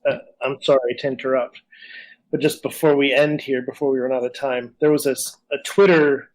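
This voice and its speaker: A man's voice: medium pitch, fairly even intonation, brisk, rough recording. A man co-hosting a podcast in casual chat, wandering and hesitant, with a self-deprecating manner. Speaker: podcast co-host.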